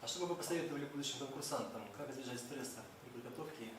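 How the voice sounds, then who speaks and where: A man on the jury asking a quick, polite question. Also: mid-range voice. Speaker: jury member. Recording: distant, echoey, noisy room.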